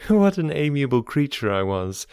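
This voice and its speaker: A man's voice: medium pitch, big pitch swings, moderate pace, darkish tone, clear, resonant tone, no room sound, studio quality, voice pushed up. A man voicing a witty, teasing young woman in audiobook dialogue, lifting his voice slightly for her.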